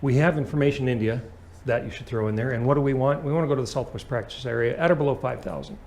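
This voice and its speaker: Male flight instructor, mid-pitched, explanatory lesson delivery, punctuated with short checking questions. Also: fast talker, a little mellow. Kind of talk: hands-on aviation lesson.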